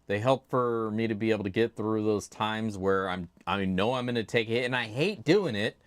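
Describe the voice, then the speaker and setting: A man hosting a casual livestream, chatty and laid-back, with a loud voice, talking into a desk microphone at his home streaming setup. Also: medium pitch, no echo. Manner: chatty, laid-back, reads chat names aloud. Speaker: livestream host.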